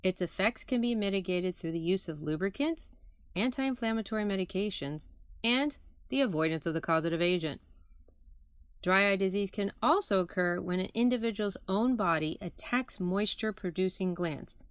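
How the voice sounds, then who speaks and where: A woman's voice: medium pitch, animated, moderate pace, no echo, clean studio sound. A woman, an optometrist, reads her own clinical article as formal, explanatory scripted narration.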